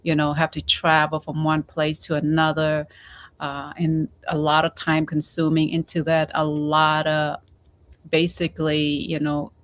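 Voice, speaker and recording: A middle-aged woman from Cambodia who grew up in the United States, speaking haltingly as a guest in a casual online interview. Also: deep voice, monotone. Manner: halting, repeats words.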